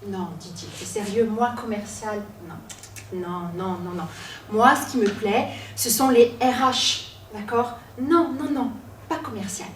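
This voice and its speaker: A young woman from Corsica, telling her own story on stage, with dramatic pauses and self-mocking asides. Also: fairly high pitch, a little hiss or hum. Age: 20s. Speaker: student storyteller. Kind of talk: personal storytelling talk. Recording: echoey room, from a distance.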